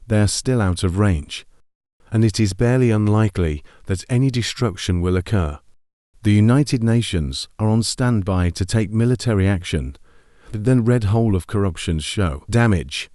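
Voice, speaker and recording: A man playing a grave TV announcer, reading formal emergency bulletins in a measured, solemn manner. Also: on the low side, warm tone, no room sound, clean studio sound, lightly acted.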